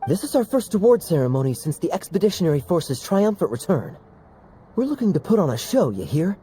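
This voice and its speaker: A man playing a suave, sly cavalry captain in a video game, with smooth, leisurely teasing delivery. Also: sits high, sing-song, a little fast, a little hiss or hum. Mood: playful authority. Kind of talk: animated game dialogue acting. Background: soft background music.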